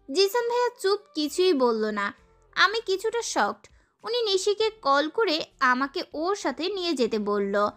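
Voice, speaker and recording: A young woman performs a sassy, girlish heroine, telling a romance audio story. Her voice is very high and bright, with lively swings in pitch and an expressive, feisty delivery. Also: full character voice. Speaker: narrator and lead actress. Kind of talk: dramatised first-person romance story narration.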